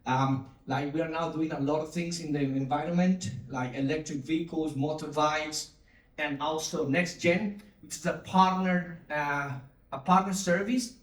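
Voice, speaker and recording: A man's voice: on the high side, fairly even intonation, normal speed. Man giving a keynote in an echoey hall. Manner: engaging, asks audience to respond, many fillers and restarts. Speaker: conference speaker, company CTO. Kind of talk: conference keynote talk. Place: large conference hall. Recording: echoey hall, distant mic.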